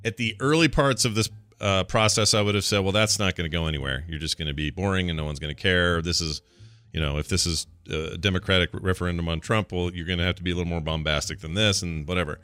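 A man co-hosting a casual political chat podcast. He talks in a relaxed, conversational way and trails off into a low mutter. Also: quick pace, smooth, no echo. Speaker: podcast co-host.

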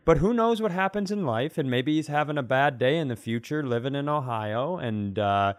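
A man with a mid-pitched voice chats casually as a comedy podcast co-host. He rambles through anecdotes with wry speculation, recorded very dry with hardly any room sound. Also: lively intonation, normal speed, no echo.

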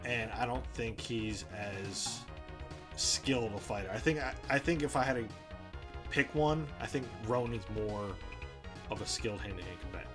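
Male co-host for a casual podcast, mid-range voice, informal banter, clear music bed. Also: medium pitch, normal speed.

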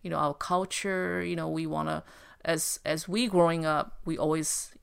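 An older woman speaks in a low, gravelly voice. She hunts for words and leans on "you know" as she explains how she raised her child. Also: steady tone. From Taiwan. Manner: halting, searching for words, many "you know" fillers. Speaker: mother of a podcast host, in a recorded interview. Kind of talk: casual interview answer.

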